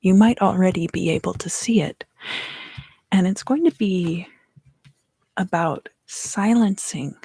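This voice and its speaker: A woman in her thirties gives an ASMR tarot reading in hushed, unhurried, deliberate phrases, close to the microphone. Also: lowish voice, creaky, slightly rough, no room sound. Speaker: tarot reader and ASMR host. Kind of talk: ASMR tarot reading, whispered and softly spoken.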